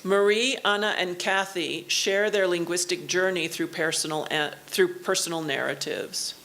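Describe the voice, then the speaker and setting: A woman giving an academic keynote lecture in a university auditorium, speaking in measured phrases and pausing for an interpreter. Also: on the low side, fairly even intonation, fairly bright tone.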